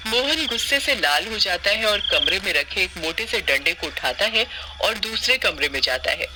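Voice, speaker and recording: A woman's voice: lowish voice, bright, forceful tone, poor audio. A woman reading scripted narration for a cartoon story.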